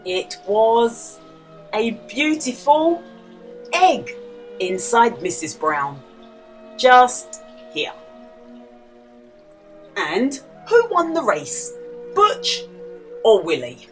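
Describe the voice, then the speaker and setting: A woman with a high voice reads to young children as a storyteller. Her delivery is slow and very animated, with exaggerated emphasis and careful pauses. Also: faint music behind. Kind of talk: expressive children's storybook read-aloud with quiz.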